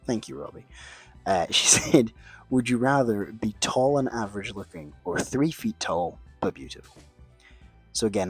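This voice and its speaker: A young man with a low, slightly husky voice, chatting casually to camera. He rambles, pokes fun at himself and swears without thinking. Faint music plays behind him. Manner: rambling, self-mocking, swears casually, trails off and restarts. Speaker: video creator answering questions. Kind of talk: casual chat.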